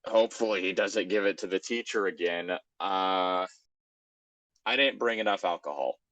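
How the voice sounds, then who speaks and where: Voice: sits low, moderate pace, a bit bright, dry recording, dull audio. An exasperated, sardonic host casually reading fan fiction aloud and adding comic commentary.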